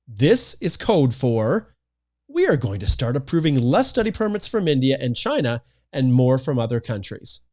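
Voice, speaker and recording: A man's voice: mid-range voice, darkish tone. A man, an immigration consultant reading a scripted explainer, delivering a numbered list with emphatic energy. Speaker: immigration consultant presenting a video.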